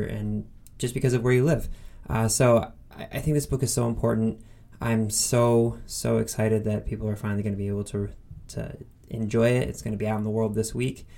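Male podcast host, fairly deep voice with noticeable fry, casual and keen. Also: warm tone. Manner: enthusiastic, informal. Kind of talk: casual podcast intro and conversational interview.

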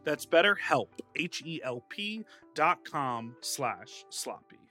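A man speaking as a podcast co-host in a casual, jokey and animated manner. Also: fairly high pitch, slow pace, soft background music. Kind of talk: casual comedic banter and host-read ads.

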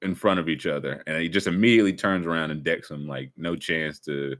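A man hosting a casual sports podcast, talking in a conversational, halting way. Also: extremely low pitch, a bit bright. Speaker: show host.

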